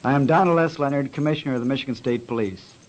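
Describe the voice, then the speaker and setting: A man giving a stiff, formal statement as a police commissioner, with halting official phrasing. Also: mid-range voice. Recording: dull, band-limited old radio recording.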